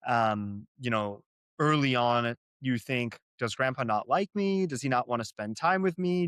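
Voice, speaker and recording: A man's voice: mid-range voice, a bit bright, no echo. A young man from Arizona, a native Gujarati speaker, speaking as an interview guest, earnest and reflective, often repeating words as he thinks. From Arizona, USA. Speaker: company co-founder, guest. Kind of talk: interview, personal storytelling.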